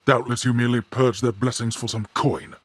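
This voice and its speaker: An actor playing a grim, imperious god of the dead and stern father in a video game, with a husky, gravelly voice and formal, archaic, menacing delivery. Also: mid-range voice, fairly even intonation, a little fast, no echo, studio quality, close to an everyday voice. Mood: cold disdain. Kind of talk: theatrical video game villain acting.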